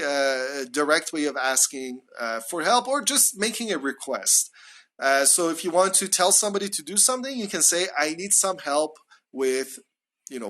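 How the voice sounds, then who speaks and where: Bright-voiced man teaching live. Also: a little high. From Canada. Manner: friendly, chatty, many uh fillers. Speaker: English teacher. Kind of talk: live-streamed English lesson. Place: small room indoors at home. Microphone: phone at arm's length.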